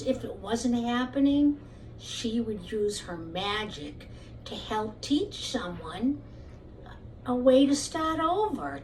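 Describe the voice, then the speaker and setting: A woman teacher telling a puppet story to young children in simple, deliberate phrases with pauses. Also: high-pitched, darkish tone. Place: room at home. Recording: roomy, distant mic, faint noise.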